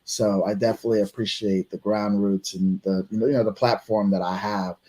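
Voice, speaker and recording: Male host from Barbados, lowish voice, chatty and relaxed interviewer. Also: a little fast. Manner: easygoing, chatty, laughs along. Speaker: radio show host. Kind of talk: casual interview.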